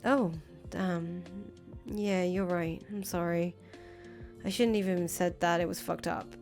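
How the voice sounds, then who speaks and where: Woman playing visual novel rebel leader: lowish voice, blunt, casual, volatile, music underneath. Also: fairly even intonation, unhurried, vocal fry, a little mellow. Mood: defensive. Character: brash, foul-mouthed revolutionary leader, volatile but attached to her friend. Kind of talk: visual novel dialogue reading. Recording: music behind the voice.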